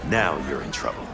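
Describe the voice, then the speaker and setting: Corrupt older game colonel: gravelly, wildly swooping delivery, taunting arrogance, music underneath. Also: male voice, rumbling low, heavy background noise, heavily acted. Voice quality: gravelly, creaky. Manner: slow, theatrical, taunting. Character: arrogant, corrupt older military man, theatrically indignant. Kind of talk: cinematic video game acting.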